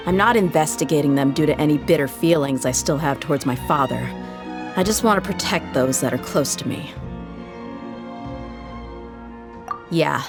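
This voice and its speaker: A low-pitched tough woman mercenary from a video game. She talks in a laid-back, matter-of-fact way with a world-weary edge. Music plays prominently behind her. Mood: calm, resolute. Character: tough, laid-back female desert mercenary, loyal and candid. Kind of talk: video game character acting, conversational storytelling. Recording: studio game dialogue over loud music. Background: background game music.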